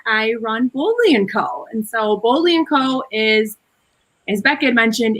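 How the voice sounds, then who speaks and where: A woman in her thirties with a clear Wisconsin accent talks over a video call. Her voice is bright and forward, and she explains her work in long, upbeat run-on sentences. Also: mid-range voice, poor audio. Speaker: guest, productivity coach. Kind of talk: casual video chat.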